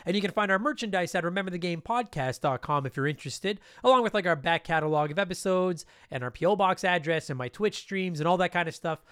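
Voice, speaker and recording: A high-pitched man rattles through his podcast intro at a quick clip, sounding stuffed up from allergies. His voice is clean and smooth, recorded dry and close on a podcast mic, with a loose, rambling, profane way of talking. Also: a bit bright, dry recording. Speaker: podcast host. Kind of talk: casual podcast intro monologue.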